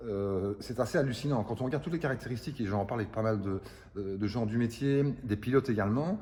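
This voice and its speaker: A man's voice: medium pitch, fast talker, warm tone, big-room sound. An older man, explaining car restoration in a casual interview, hesitant and repeating words. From Italian roots.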